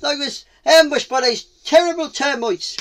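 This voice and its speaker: A storyteller voicing an eager, boastful cheetah with an excitable, self-assured manner for a children's tale. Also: sits high, moderate pace, pitched above the performer's own voice. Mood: sheepish.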